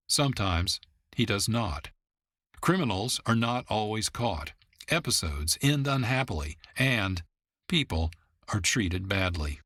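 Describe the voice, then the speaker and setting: A man giving measured, formal scripted narration as the announcer of a radio program. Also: mid-range voice, no echo, studio quality. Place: dry studio. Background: soft music.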